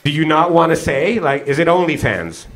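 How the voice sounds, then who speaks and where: A man's voice: fairly high pitch, lively intonation, background noise. A young man doing stand-up crowd work on a comedy club stage, teasing the audience into a handheld mic. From half Hungarian, half South African. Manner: teasing, quick banter with audience, frequent laughs. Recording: live club with audience laughter.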